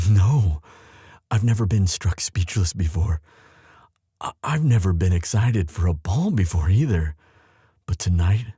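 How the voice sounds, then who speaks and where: A man playing a devoted young crown prince in a romantic ASMR audio drama, earnest and tender, with formal, halting, courtly speech. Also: low-pitched, warm tone, breathy edge, no echo, studio quality, exaggerated voice.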